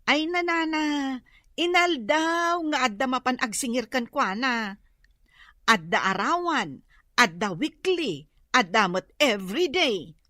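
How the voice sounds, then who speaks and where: A woman's voice: sits high, expressive, takes it slowly, bright, forceful tone, dry recording, studio quality, full character voice. A woman playing a nosy, envious, gossiping housewife who mutters about neighbors in a comedic radio drama.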